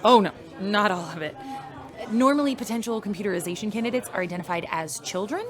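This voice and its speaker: A woman acting as an easygoing digital person in a sci-fi radio drama. She talks casually and chattily at a middle pitch, with the clear hubbub of a bar crowd around her. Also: expressive, quick pace, close to an everyday voice. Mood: friendly, candid. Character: friendly, easygoing female uploaded mind running a general store.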